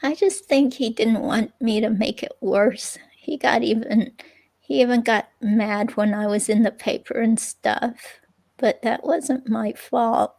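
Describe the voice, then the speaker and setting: A woman's voice: on the high side, steady tone, measured pace, darkish tone. A woman reading the part of a hurt ex-girlfriend in a police interview, hesitant and rambling, in a conversational dramatic reading. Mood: conflicted, unsure. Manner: hesitant, rambling, filler-laden.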